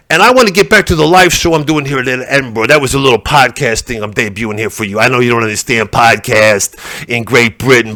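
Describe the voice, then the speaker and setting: A man's voice: medium pitch, bright, forceful tone, no echo. A man playing a brash, bigoted podcaster on a live comedy stage, ranting, rambling and swearing. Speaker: stand-up comedian. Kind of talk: stand-up character act.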